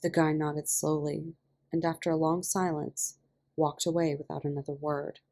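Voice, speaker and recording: Low, creaky woman narrating a story. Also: level tone, moderate pace, dark tone. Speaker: podfic reader. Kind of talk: expressive scripted story narration.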